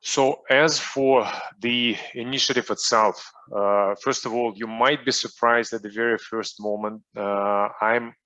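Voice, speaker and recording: A man's voice: mid-range voice, fairly even intonation, measured pace, hardly any pauses, sharp tone, dull audio. A middle-aged man with a Russian accent, a soccer coach speaking in an online interview, hesitant with many fillers and restarts.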